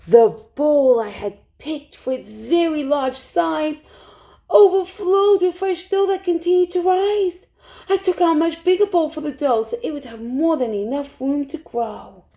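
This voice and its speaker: A woman storytime teacher reading a rhyming picture book aloud to young children in an expressive way. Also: high-pitched, gravelly, slight background noise. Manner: expressive, hushed suspense, asks listeners questions.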